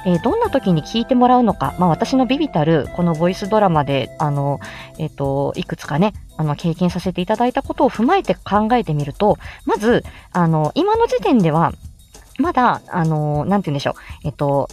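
A woman's voice: fairly low pitch, sing-song, a little fast, darkish tone, soft background music. A woman presenting an informal instructional livestream in a chatty, explanatory way. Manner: chatty, explanatory, greets listeners by name. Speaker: presenter teaching how to make voice samples; speech therapist and amateur voice actor.

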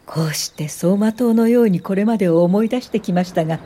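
An actress playing a reflective, gentle eighty-year-old woman educator, narrating and talking in a radio drama in a measured, contemplative way. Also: medium pitch, a little hiss or hum, lightly acted.

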